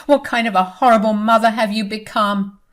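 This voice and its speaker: A woman voicing an angry older mother who loudly scolds her grown daughter. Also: mid-range voice, steady tone, measured pace, close to an everyday voice. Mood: outraged. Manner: loud, scolding.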